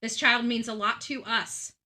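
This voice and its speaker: A woman with a strikingly bright, forceful voice that sits a little high. She delivers an earnest monologue as a true crime channel host, leaning on repeated phrases to press each point home. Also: steady tone, unhurried. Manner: emphatic, repeating phrases for stress.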